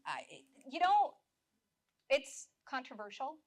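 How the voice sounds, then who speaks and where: Woman panelist, higher register, slightly worn voice, reflective and earnest. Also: very animated, takes it slowly. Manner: earnest, reflective, many restarts. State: dry mouth, slightly losing voice. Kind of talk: live panel discussion.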